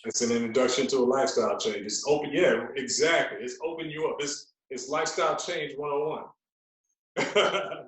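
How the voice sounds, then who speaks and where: A man's voice: mid-range voice, moderate pace, fairly bright tone, recorded from a distance. A man talking casually as a podcast guest and fitness trainer, explaining things emphatically and repeating phrases to drive them home. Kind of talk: casual conversational interview talk. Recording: very echoey, roomy sound.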